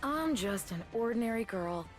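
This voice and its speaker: An actress playing a young female warrior in a video game, dry, sarcastic and darkly humorous, with a deadpan edge and fierce devotion. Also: a little high, moderate pace, soft background music, close to an everyday voice. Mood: darkly wry.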